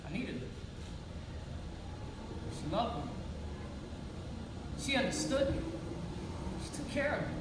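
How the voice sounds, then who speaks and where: An actress playing a wounded, frank, streetwise homeless young woman in a plainspoken, profane stage monologue with dramatic pauses. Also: mid-range voice, close to an everyday voice. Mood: grieving, pained. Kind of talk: theatrical monologue in a one-act stage play. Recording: echoey hall, far-off mic, background noise.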